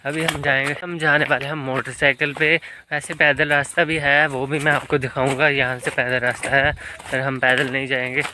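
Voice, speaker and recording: A man's voice: mid-range voice, flat delivery, fairly quick. A young man in his twenties talking casually to viewers on a travel vlog, filmed on a phone held at arm's length outdoors. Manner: chatty, addresses viewers directly, joking asides. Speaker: vlogger. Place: outdoors on a hill road and temple grounds. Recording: loud wind and road noise.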